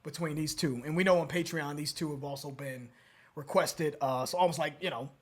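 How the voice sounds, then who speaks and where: A man in his forties, slightly hoarse, co-hosting a music reaction show in casual chat at a desk mic, animated and quick to laugh. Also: on the high side. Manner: animated, laughing, bursts of loud excitement. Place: dark home studio with city backdrop. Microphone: desk mic on boom arm.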